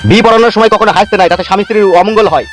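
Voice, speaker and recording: A man's voice: sits high, steady tone, rapid-fire, fairly bright tone, faint music behind. A man voicing a bossy, scolding wedding priest in a comedy cartoon, chanting and instructing.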